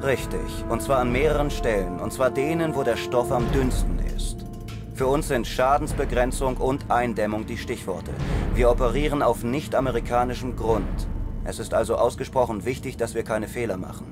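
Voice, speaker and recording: Man acting as a commanding officer, condescending, clipped orders, mid-pitched male voice, audio drama, loud score behind. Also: normal speed, music in the background, close to an everyday voice. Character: cool commanding male officer leading a secret operation.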